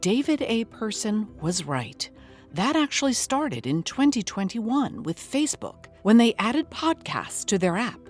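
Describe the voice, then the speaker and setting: Earnest female investigative podcaster, expressive intonation, scripted mockumentary narration, music underneath. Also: on the high side, measured pace. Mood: grave. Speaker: podcast host.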